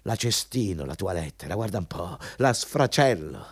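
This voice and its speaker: An actor playing a bullying, scornful company recruiter in a radio drama, dismissive and contemptuous. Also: male voice, deep voice, very animated, normal speed, breathy edge, no room sound, clean studio sound, heavily acted. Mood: contemptuous anger. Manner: scornful, dismissive, barking questions. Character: contemptuous, bullying male company recruiter, foul-mouthed anti-communist.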